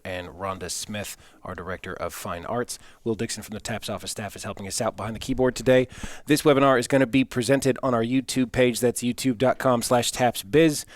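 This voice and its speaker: A man's voice: medium pitch, dry recording. A man with a mid-range voice opens an online information session. He speaks in a plain, businesslike manner, and the recording is dry. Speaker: media director opening the session. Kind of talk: webinar introduction.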